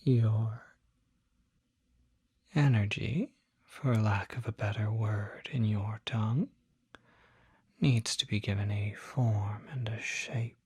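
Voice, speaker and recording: A performer voicing a calm, courteous demon doctor in an ASMR roleplay, whispering softly with a measured, reassuring, formal bedside manner. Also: medium pitch, no room sound. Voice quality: breathy, whispery.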